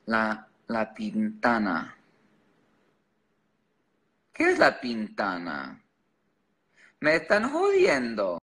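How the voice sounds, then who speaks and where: A man's voice: on the low side, wildly swooping pitch, very slow, long pauses, a bit bright. A man from Chicago with an American accent and English as his first language, chatting casually to livestream viewers in sassy, slangy style. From Chicago, United States. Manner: switches between English and Spanish, sassy, slangy. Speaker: livestream host.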